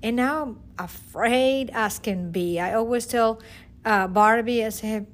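A woman's voice: a little high, slow. Earnest woman with a Venezuelan accent. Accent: Venezuelan Spanish. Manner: earnest, emotional, hesitant with fillers and restarts. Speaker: teacher and guest. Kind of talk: personal testimony.

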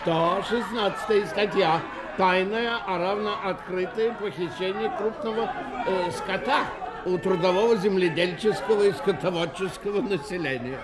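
An actor playing an officious man in a Russian radio play, loudly declaiming in bureaucratic legal language. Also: sits high, normal speed, a loud crowd, lightly acted. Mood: indignant, declamatory. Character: officious man loudly reciting the criminal code.

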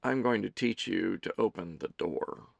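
A man's voice: fairly low pitch, a little slow, gravelly, dry recording, voice pushed down. A man voicing an impatient, bossy female pet dog with a blunt, demanding manner in an audiobook story.

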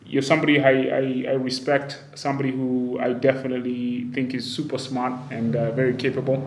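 A man's voice: mid-range voice, level tone, fairly quick, darkish tone, slight background noise. A man from Kenya hosting a casual podcast interview, chatty and upbeat, often restarting his sentences. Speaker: podcast host. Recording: roomy sound with faint ambience.

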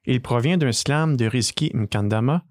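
A man, hosting and narrating a scripted podcast in a measured, read-aloud way. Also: mid-range voice, darkish tone, no echo. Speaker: podcast host.

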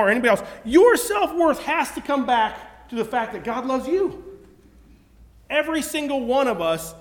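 A high-voiced man gives a sermon in an earnest, conversational way, often stopping to restart his sentences. His voice rings out with a long reverb behind it. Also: fairly bright tone. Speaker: preacher.

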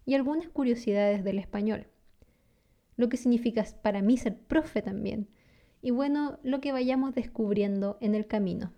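A Chilean woman in her thirties with a Chilean Spanish accent, hosting her own podcast and telling stories with frequent light laughs. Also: on the high side. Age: 30s. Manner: warm, storytelling, laughs often. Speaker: podcast host and teacher. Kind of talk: scripted podcast monologue.

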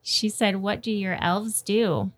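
A woman's voice: medium pitch, slow, dry recording. Female host, middle register, casual and encouraging, prompting kids with questions. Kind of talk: casual interview with Santa and children.

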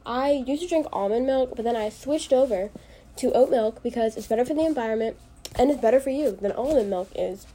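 A young woman with a somewhat high, mellow voice chats alone on her podcast, stuffy-nosed from allergies. She rambles loosely, fills gaps with ums and likes, and jokes at her own expense. Faint ambience hangs behind her. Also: a little fast, a little hiss or hum. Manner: rambling, self-deprecating, full of fillers. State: congested from allergies. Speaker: podcast host. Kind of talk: casual solo podcast chat.

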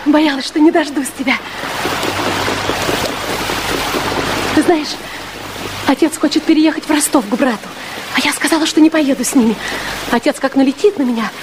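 An actress playing an anxious, candid nineteen-year-old sweetheart in a radio drama, confiding and emotionally open. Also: female voice, sits high, fairly quick, lightly acted. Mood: eager, confiding. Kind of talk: 1960s Soviet radio drama acting. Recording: noisy 1960s radio recording, rain-like hiss.

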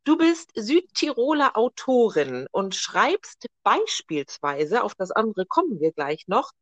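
A woman's voice: mid-range voice, very animated, takes it slowly, no echo. A woman hosting a podcast interview, friendly and hesitant, with many fillers. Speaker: podcast host. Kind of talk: casual interview.

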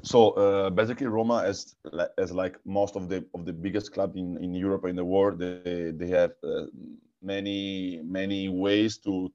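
A man with an Italian accent, a guest answering interview questions, speaking haltingly with many repeated words and restarts. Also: sits low, a little mellow, muffled recording. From Italy. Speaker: guest, futsal coach and technical director.

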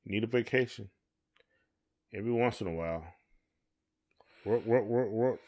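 Low-voiced man, casual. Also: moderate pace, darkish tone. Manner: colloquial, conversational. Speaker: podcast co-host. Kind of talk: casual chat.

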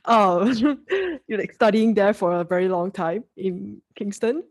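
A woman's voice: mid-range voice, expressive, measured pace, darkish tone, no echo, clean studio sound. Curious, giggly woman podcast host. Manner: curious, giggly, follows with questions. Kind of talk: casual podcast interview.

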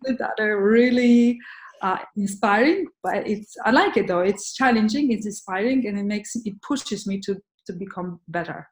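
A woman's voice: medium pitch, expressive. A woman from Poland talks earnestly as an interview guest, often restarting her sentences as she thinks aloud. Her voice sits in the middle of the range, and a roomy echo hangs around it. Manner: earnest, halting with many restarts. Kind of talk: conversational interview.

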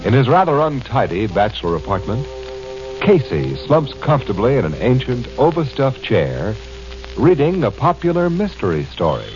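A man's voice: mid-range voice, big pitch swings, moderate pace. A male announcer giving formal, declamatory 1940s radio narration. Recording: dull old radio recording over loud music.

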